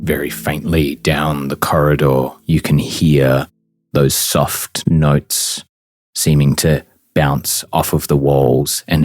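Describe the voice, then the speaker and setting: A man's voice: extremely low pitch, a little mellow, no room sound, studio quality. A man speaking as a game master, narrating a tabletop game with descriptive, dramatic storytelling.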